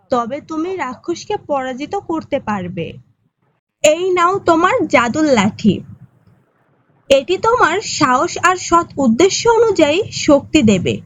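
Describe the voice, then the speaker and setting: A woman voicing a kindly, gentle fairy in a fairy-tale cartoon, speaking reassuringly. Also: high-pitched, no room sound, low-quality recording.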